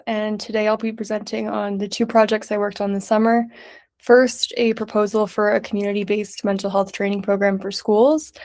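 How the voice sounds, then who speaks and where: A young woman from New York City, a student intern, giving a prepared slideshow presentation in a clear, methodical way. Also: mid-range voice, a little mellow. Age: young adult.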